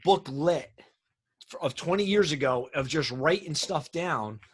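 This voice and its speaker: A man's voice: on the high side, unhurried, husky. A middle-aged man in casual conversation, talking in an informal, plain-spoken way. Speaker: firefighter on a radio show. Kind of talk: casual chat.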